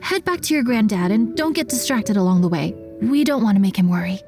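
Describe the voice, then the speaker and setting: A woman voicing a caring young townswoman in a video game, with a gentle, friendly manner. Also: medium pitch, expressive, fairly quick, faint music behind, natural voice. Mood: doting. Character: kindly young townswoman, caring and nostalgic craftswoman. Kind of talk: video game character dub.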